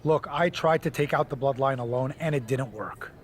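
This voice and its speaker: A man's voice: medium pitch, normal speed, lightly acted. A man playing a pragmatic wrestler ally in a video game cutscene, frank and wry as he reasons and cautions. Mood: cautioning.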